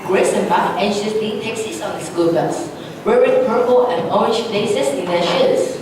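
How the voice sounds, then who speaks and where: A woman's voice: mid-range voice, normal speed. A young woman reading scripted narration on stage, recorded from far off in a reverberant hall. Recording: reverberant hall, distant mic, faint noise.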